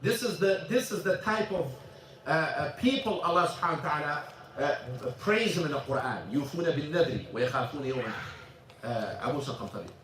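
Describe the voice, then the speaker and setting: High-voiced imam teaching in an echoey mosque. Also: male voice, animated, a bit bright. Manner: halting, repeats words, switches into Arabic. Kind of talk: informal religious lesson with questions. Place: mosque classroom. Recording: echoey room, mic at a distance.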